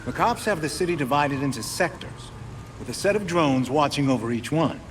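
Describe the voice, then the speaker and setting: A man's voice: on the high side, lively intonation, a little fast, noisy background, lightly acted. A man playing a wry, avuncular older inventor and mentor in a video game, with a folksy, instructive manner. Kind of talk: cinematic video game acting.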